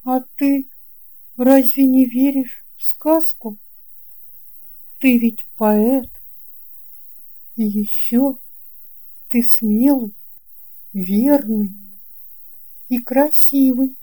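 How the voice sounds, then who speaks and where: A woman voicing a kind, confident eight-year-old girl with a gentle, reassuring manner in a children's audio storybook. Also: fairly high pitch, steady tone, extremely slow, pauses often, voice pushed up. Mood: earnest, encouraging.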